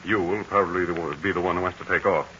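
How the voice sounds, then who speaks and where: An actor playing a brave, steady adult aviator hero in 1940s radio acting, calm and reassuring in manner. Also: male voice, low voice, fast. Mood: encouraging. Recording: hissy, muffled 1940s radio transcription.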